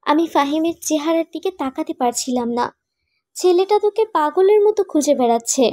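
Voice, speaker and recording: A woman playing a concerned, questioning young friend in an animated romantic drama. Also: high-pitched, a little fast, no echo. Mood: earnest, reproachful.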